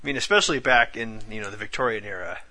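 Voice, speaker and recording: Bright-voiced guy hosting a podcast, chatty and jokey. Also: lowish voice, fast talker. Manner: jokey, chatty, playful asides. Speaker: podcast host. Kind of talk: casual podcast chat.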